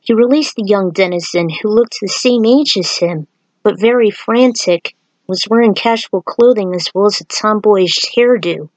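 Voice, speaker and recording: Woman, amateur story narrator, fan fiction author, medium pitch, dry recording. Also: moderate pace, darkish tone, dry recording, poor audio. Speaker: fan fiction writer and podfic reader.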